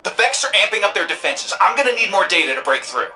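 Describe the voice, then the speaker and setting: An actor playing an excitable, cheeky young tech-whiz sidekick in a video game, with a slangy, playful manner. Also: medium pitch, fast talker, vocal fry, exaggerated voice, rough recording. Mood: excited. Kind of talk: video game character dialogue.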